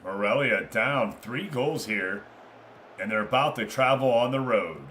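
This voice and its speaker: A man's voice: mid-range voice, unhurried, sharp tone, a little hiss or hum. A man doing live, informal play-by-play of a football game, chatting casually with viewers between passes. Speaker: streamer commentating a football management game.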